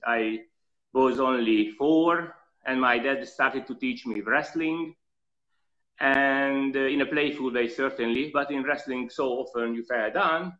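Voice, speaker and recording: A man with a slight European accent recounts his life story as an interview guest. He talks earnestly at an even middle pitch and hesitates on fillers often. The audio is dull and lo-fi. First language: not English. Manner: earnest, reflective, halting with fillers.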